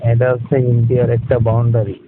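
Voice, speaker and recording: A tinny phone line with clear noise carries a man's casual chat. He speaks with a Bangladeshi Bengali accent at an ordinary male pitch. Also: little pitch movement, quick pace. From Jessore, Bangladesh. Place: phone call.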